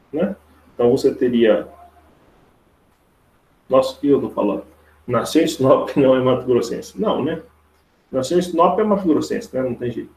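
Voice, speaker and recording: A Brazilian man with a creaky voice teaching a live online logic lesson step by step, informally, repeating himself and checking for agreement with tag questions. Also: mid-range voice, a little mellow. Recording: roomy, echoey online class audio.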